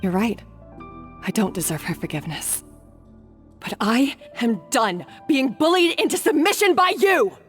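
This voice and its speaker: A woman's voice: a little high, animated, measured pace, soft background music. An actress voicing a guilt-ridden woman accomplice in a video game, speaking in a resolute, bitter, dramatic way. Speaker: game character.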